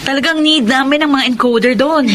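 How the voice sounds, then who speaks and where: High-pitched, outspoken young Filipina. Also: female voice, a little fast, a bit bright, a little hiss or hum. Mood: chummy, confident. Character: outspoken, chummy young working woman. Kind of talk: Filipino radio drama acting.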